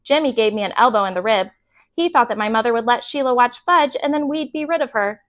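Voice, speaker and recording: Female storyteller with a high voice for an expressive children's book read-aloud. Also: fairly quick. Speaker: bedtime story reader.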